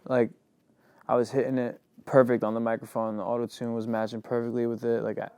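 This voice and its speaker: A man's voice: medium pitch, little pitch movement, brisk, darkish tone, clear, resonant tone, no room sound. A young man, a rapper and singer, talking casually in an interview, rambling and filling his sentences with "like". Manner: loose and rambling, heavy on "like".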